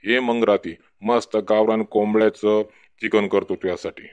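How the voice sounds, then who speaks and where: A boisterous, boastful village drunkard acted by a man with a low voice and a thick rural Varhadi Marathi accent. He wheedles and rambles, pressing his point with repeated tag questions, like a pushy cartoon uncle. The sound is dry, with no room to it. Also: steady tone, moderate pace, a little mellow, clean tone, no room sound, natural voice. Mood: cajoling. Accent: Varhadi Marathi (Vidarbha). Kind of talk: comic village cartoon dialogue.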